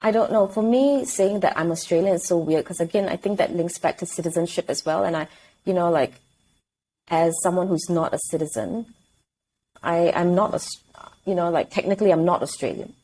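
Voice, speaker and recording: Mellow, reflective woman guest. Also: fairly low pitch, brisk, rough recording. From Singapore. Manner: reflective, hesitant, self-correcting. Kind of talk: casual interview.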